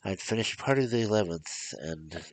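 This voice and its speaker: A man voicing a vengeful, coldly mocking murderer who tells his gothic tale in the first person, deliberately and theatrically. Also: fairly low pitch, lively intonation, pitched above the performer's own voice, lightly acted, rough recording. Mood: grim.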